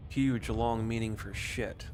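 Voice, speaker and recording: Casual low-voiced male streamer. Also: fairly even intonation, slow, a little mellow, faint music behind. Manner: rambling, informal, profane. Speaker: game streamer. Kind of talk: casual livestream chat with voice commands.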